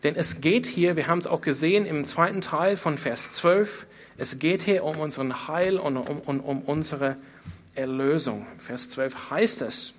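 Guy preaching a bible sermon, slightly high voice, earnest, repeats stuff for emphasis, asks rhetorical questions. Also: unhurried, slight background noise.

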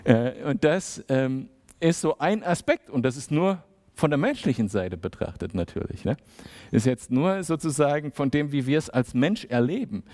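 Male preacher, casual and talky, mid-pitched, plays out little scenes. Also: very animated, normal speed, darkish tone. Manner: conversational, acts out small dialogues. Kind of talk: sermon.

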